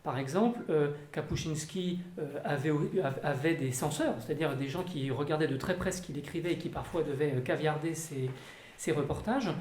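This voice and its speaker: A panelist giving a measured, lecture-like answer at a round table, with a few fillers. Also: mid-range voice, darkish tone, a little hiss or hum. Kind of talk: round-table discussion, explanatory. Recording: echoey room, faint ambience.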